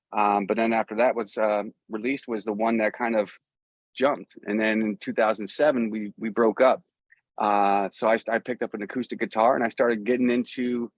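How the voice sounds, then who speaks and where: A man's voice: medium pitch, no echo. A middle-aged man chatting casually as an interview guest, telling stories in a rambling, easygoing way. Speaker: guest, musician. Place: outdoors.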